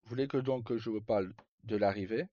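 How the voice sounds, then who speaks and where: A man live streaming, casually telling roleplay stories in a rambling, halting way with pauses mid-sentence. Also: fairly low pitch. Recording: slightly muffled, roomy, faint music. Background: background music.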